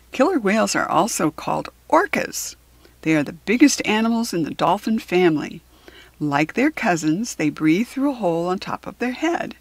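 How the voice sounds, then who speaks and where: An American woman reading a children's book aloud in a deliberate, instructive way, adding chatty asides for young listeners. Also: lowish voice. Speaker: children's book reader and host.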